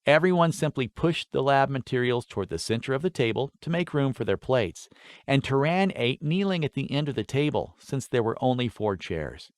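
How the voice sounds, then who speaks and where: A man narrating a scripted fantasy story in an expressive, enunciated storytelling manner. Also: mid-range voice, normal speed, dry recording, studio quality. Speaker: audiobook narrator.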